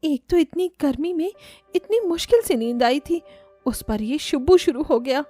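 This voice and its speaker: Woman voicing a high-pitched spoiled young rich bride. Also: lively intonation, moderate pace, mellow, faint music behind. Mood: irritated. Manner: mixes English exclamations into complaints.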